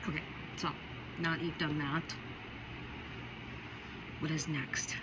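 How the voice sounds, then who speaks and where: Low-voiced woman chatting through her makeup. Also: flat delivery, slow. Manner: chatty, rambling asides, repeats warnings. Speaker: makeup tutorial vlogger. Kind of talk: casual how-to chat. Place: home, indoors by a window. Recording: loud vehicle noise behind.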